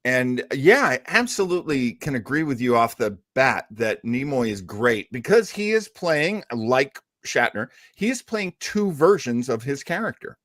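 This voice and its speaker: A man's voice: medium pitch, big pitch swings, a little slow, hardly any pauses, fairly bright tone, no echo. Male podcast host, close dry sound. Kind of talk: casual review discussion of a TV episode.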